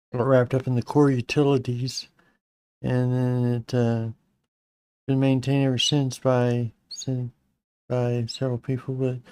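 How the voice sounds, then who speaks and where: Slightly raspy man hosting a live stream. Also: mid-range voice, a little mellow. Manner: rambling, with fillers and restarts. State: slightly raspy, clearing his throat. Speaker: host of a live-streamed Linux tutorial. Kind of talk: casual live-stream tech walkthrough.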